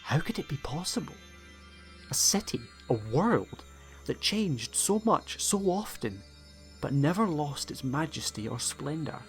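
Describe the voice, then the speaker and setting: A man's voice: a little high, big pitch swings, unhurried. A man narrating a scripted audiobook story in a dramatic storytelling style. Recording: music behind the voice.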